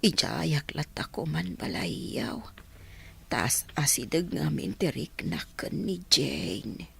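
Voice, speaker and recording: A woman playing a frail, resigned elderly mother in a radio drama, with a breathy, whispery voice and an Ilocano accent. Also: deep voice, big pitch swings, slow, fairly bright tone, exaggerated voice. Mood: weak, resigned.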